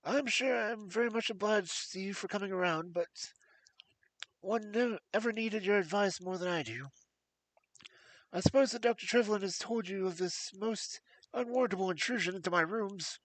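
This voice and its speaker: A man's voice: sits high, moderate pace, a bit bright, no echo, pitched above the performer's own voice, poor audio. A man reading a story aloud, voicing a frightened, jittery, fat older man who stammers in a breaking voice. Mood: nervous. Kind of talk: audiobook character voice.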